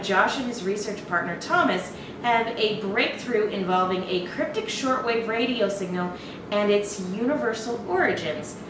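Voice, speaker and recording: A woman co-hosting a horror trailer reaction show, chatting casually. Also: mid-range voice. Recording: echoey, noisy room, distant mic.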